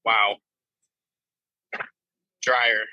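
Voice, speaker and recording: A man's voice: lowish voice, flat delivery, very slow, sharp tone, dry recording, highs cut off. A man of about twenty chatting casually as a podcast guest. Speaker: podcast guest, cornhole player. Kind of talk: casual chat.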